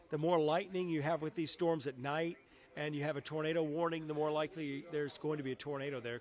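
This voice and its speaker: A middle-aged man, a television meteorologist, chatting in a casual interview and telling stories in a conversational way. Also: fairly high pitch. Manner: conversational, anecdotal.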